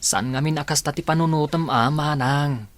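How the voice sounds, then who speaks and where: An actor playing a supportive, eager younger brother in an Ilocano radio drama. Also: male voice, on the high side, moderate pace, natural voice. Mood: concerned.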